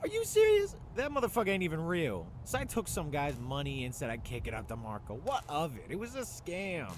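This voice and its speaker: Man playing a high-pitched, volatile video game pimp. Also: wildly swooping pitch, fairly quick, a little hiss or hum. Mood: indignant, blustering. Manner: aggressive, swearing, blustering. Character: foul-mouthed, bullying male brothel pimp, blustering and volatile. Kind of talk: scripted video game dialogue.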